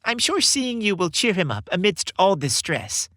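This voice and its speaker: A man's voice: high-pitched, very animated, normal speed, sharp tone, dry recording, clean studio sound, pitched above the performer's own voice, heavily acted. A man voicing a doting, fretful elderly mother, Santa's wife, in a motherly, fussing manner for a story. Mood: warm, coaxing.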